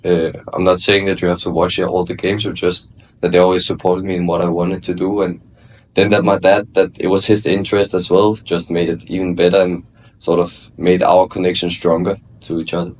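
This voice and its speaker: Deep-voiced young Danish man. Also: brisk, a little hiss or hum. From Denmark. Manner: relaxed, hesitant, many fillers and restarts. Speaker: guest, college soccer player. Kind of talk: casual interview chat.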